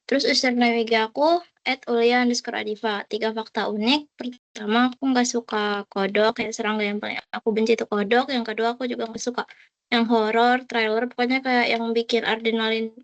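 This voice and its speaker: Young woman, flat intonation, dry close sound, student, casual self-introduction. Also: fairly high pitch, brisk, no echo, lo-fi sound. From Bekasi, Indonesia. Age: young adult. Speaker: university student introducing herself. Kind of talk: informal self-introduction on a group call.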